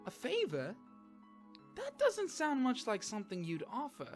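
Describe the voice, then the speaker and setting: A woman's voice: a little high, huge pitch swings, unhurried. A woman playing an earnest, easily flustered young female pony detective in a cartoon, with a wry, hesitant manner. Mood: wary. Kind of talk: animated cartoon acting. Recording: music behind the voice.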